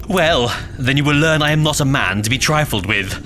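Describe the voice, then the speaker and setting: A man's voice: on the high side, animated, fairly quick, pushed and bright, slight background noise, voice pushed up. A man voicing a haughty, spoiled young nobleman who speaks in a pompous, affronted way in a fantasy story. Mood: threatening.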